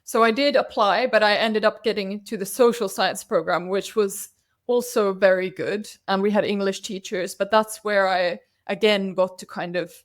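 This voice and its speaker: A woman's voice: medium pitch, steady tone, normal speed. Woman guest, native Swedish speaker, chatty storyteller, mid-range voice. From Finland. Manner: chatty and anecdotal, with frequent restarts. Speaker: podcast guest, CEO ghostwriter. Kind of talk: casual interview chat.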